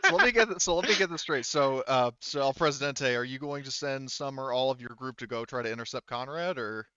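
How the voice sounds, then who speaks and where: A man speaking casually as game master, repeating himself and feeling his way through explanations in a halting manner. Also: mid-range voice, bright, forceful tone, clean tone, no echo, lo-fi sound. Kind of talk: running a tabletop game, casual talk.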